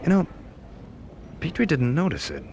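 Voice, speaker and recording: A young university student in a radio drama, restless and curious. His talk is colloquial and animated, swinging up and down in pitch. The recording carries faint noise. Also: normal speed, vocal fry, exaggerated voice. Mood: restless, intrigued. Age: young adult. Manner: informal, colloquial.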